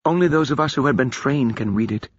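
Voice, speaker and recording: A man voicing a grave, earnest female wizard who speaks slowly and deliberately. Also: medium pitch, no room sound, pitched above the performer's own voice, natural voice. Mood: solemn. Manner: slow, solemn, deliberate. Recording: dull lo-fi recording.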